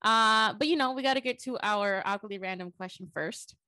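A woman's voice: medium pitch, fairly quick, pushed and bright, no room sound. A young woman co-hosting a podcast in casual, informal, chatty talk. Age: young adult. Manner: informal, chatty, says y'all. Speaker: podcast co-host. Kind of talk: casual chat. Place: small bedroom at home, video call.

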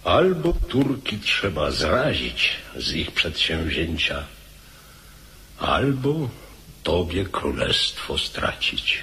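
A man's voice: low voice, wildly swooping pitch, very slow, bright, forceful tone, exaggerated voice. A man playing a grave royal counsellor in a radio drama, urging war with emphatic oratory. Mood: grave, urgent.

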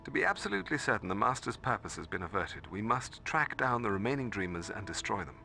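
A proper middle-aged mentor in a video game. His voice is gravelly yet forceful, and he speaks in careful, formal, cautious phrases. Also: fairly low pitch, very animated, faint music behind, exaggerated voice. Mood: earnest, instructive. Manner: formal, measured, earnest.

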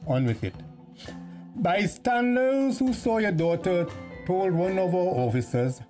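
Officious police interrogator, slow delivery with swooping pitch, music underneath, radio play played by an actor. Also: animated, warm tone, lightly acted. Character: self-important, officious police officer questioning a suspect. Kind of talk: radio drama dialogue.

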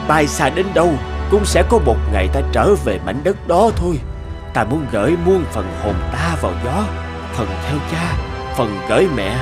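A man playing a proud but regretful, homesick middle-aged warrior king in a cartoon fairy tale, speaking in a solemn, reflective way. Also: on the high side. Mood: solemn, yearning.

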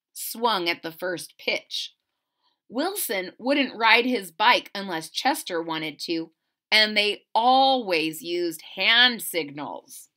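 A middle-aged woman reading a picture book aloud to children, expressive and deliberate, pausing to question her listeners. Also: medium pitch. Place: small indoor room at home.

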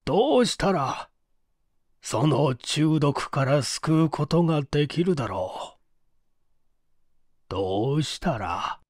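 A man reads as a tired, brooding police chief in an audiobook mystery. He speaks very slowly and ponderously, in a fairly high male voice, recorded close and dry. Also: very animated, darkish tone, slightly rough, no echo, exaggerated voice. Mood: gloomy, pensive. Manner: slow, heavy, ponderous delivery. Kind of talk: audiobook character dialogue.

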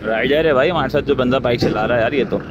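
A young Pakistani man chats into a handheld phone as a travel vlogger. His talk is slangy and full of complaint, at an average pitch. Clear vehicle and outdoor noise sits around the voice. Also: quick pace, lo-fi sound. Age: young adult. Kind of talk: casual vlog chat. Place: outdoors at roadside workshops and in a parked car. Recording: noisy roadside with vehicle and wind noise.

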